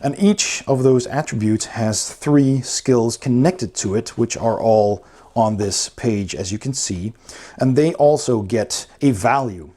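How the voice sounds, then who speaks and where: A man's voice: medium pitch, takes it slowly, mellow. A man giving a casual, unscripted review commentary, explaining things step by step as he goes through a rulebook. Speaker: host of a board game review channel.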